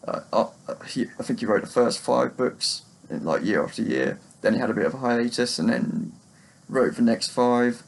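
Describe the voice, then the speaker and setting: Gravelly, husky man chatting. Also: medium pitch, expressive, moderate pace, rough recording. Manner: rambling, hesitant, self-deprecating. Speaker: book vlogger. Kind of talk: casual unscripted chat about books.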